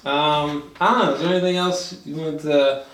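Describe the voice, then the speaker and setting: A man chatting casually through a movie review, rambling and halting, with frequent swearing. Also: a little high, steady tone, fairly bright tone. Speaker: film review host. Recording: roomy echo, distant from mic.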